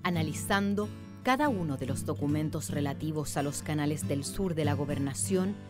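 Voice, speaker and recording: A woman with a low voice narrates a radio drama. Her delivery is measured and literary, like a storyteller's, and music plays behind her. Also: animated.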